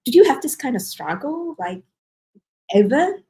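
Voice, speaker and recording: A woman's voice: high-pitched, very animated, measured pace, mellow. A woman hosting a casual interview, speaking in a hesitant, wordy way with many conversational asides and filler phrases. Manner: hesitant, wordy, peppered with "like, you know". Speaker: interview host.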